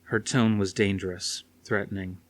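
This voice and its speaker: A man narrates dramatic fiction as an audiobook reader. His voice is on the low side, and the delivery is scripted storytelling. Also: steady tone, normal speed.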